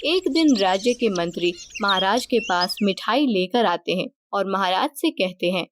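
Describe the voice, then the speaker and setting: A woman's voice: mid-range voice, lively intonation, normal speed, background noise. A woman narrating a scripted cartoon story. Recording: music under the voice. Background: background music.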